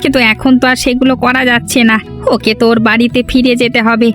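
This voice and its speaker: A woman's voice: a little high, flat delivery, fast, a bit bright, soft background music, lightly acted. A woman voicing a kindly, protective adult villager in a cartoon, speaking earnestly and reassuringly. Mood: reflective. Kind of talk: cartoon dub acting.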